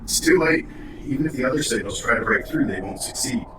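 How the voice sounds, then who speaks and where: A man playing a cold, accusing co-host in an audio drama, calm and menacing. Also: mid-range voice, fast, close to an everyday voice. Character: cold male co-host turned traitor. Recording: roomy distant noisy audio.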